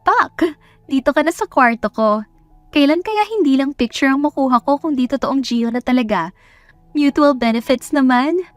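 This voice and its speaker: A woman's voice: high-pitched, expressive, moderate pace, no echo, soft background music, pitched above the performer's own voice. A woman voicing a haughty, sassy young woman in an audio romance, sarcastic and dramatic, mixing Tagalog and English. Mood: gleeful, scheming. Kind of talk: audio romance story character voice.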